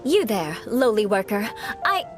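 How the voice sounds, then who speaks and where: Proud aristocratic young knight. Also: female voice, mid-range voice, big pitch swings, unhurried. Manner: formal, lofty, composed speech. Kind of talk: video game voice acting. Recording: game audio with faint music. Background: faint game music.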